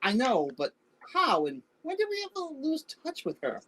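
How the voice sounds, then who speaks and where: Actor as older spouse in a stage play: very animated intonation, wry, bantering, conversational, fond. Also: high-pitched, moderate pace, exaggerated voice, rough recording. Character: wry, teasing older spouse reminiscing fondly. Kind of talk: staged reading of a short play.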